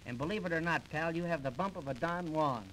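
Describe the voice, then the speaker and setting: A man's voice: fairly high pitch, fast, fairly bright tone, a little hiss or hum, rough recording. A man trading comic patter as half of a duo in a 1930s radio comedy. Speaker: comedy duo partner and singer. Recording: early 1930s radio transcription disc.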